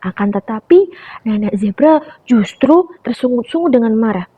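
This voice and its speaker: A young woman tells a story to small children in a bright, lively voice with lots of pitch swings. Her voice is a little breathy, and the recording sounds dull and lo-fi. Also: moderate pace. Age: young adult. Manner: animated, calls listeners "friends".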